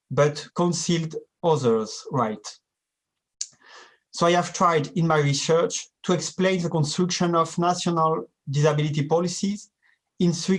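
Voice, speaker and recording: A man presenting a seminar paper in careful, deliberate, non-native English. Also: on the high side. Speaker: academic presenter. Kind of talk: reading a conference paper.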